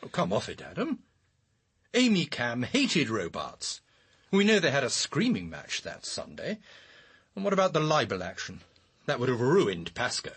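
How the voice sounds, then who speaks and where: Brusque security official, husky. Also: male voice, medium pitch, wildly swooping pitch, fairly bright tone, full character voice. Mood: impatient, insistent. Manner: brisk, argumentative. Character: brusque, assertive British intelligence official. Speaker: actor in a radio drama. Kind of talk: radio drama dialogue.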